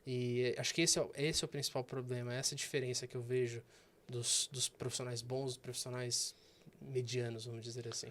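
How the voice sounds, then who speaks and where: A man, a guest banker sharing stories in a casual interview with animated delivery and frequent fillers. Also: mid-range voice, brisk.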